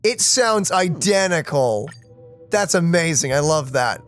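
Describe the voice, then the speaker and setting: Gaming host, medium-pitched voice, casual and reactive, faint game music behind. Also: expressive, unhurried. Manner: informal, chatty, reactive. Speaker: let's play host.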